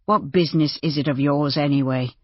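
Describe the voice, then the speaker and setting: A narrator plays a male doctor in an audiobook, a proud gentleman with a bitter, desperate manner. The voice has a husky grain. The recording is dry and slightly muffled. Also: medium pitch, steady tone, a little fast, no echo, close to an everyday voice. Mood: indignant. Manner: cross, self-important, despairing. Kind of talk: audiobook character dialogue.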